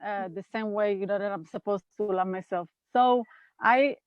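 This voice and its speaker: A woman's voice: mid-range voice, no echo, highs cut off. A woman in her fifties with an Israeli accent, a guest speaking thoughtfully and unhurriedly about her path in an interview over a video call. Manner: thoughtful, unhurried, with pauses and fillers. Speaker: guest, NVC trainer. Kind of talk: reflective interview talk. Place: home in California.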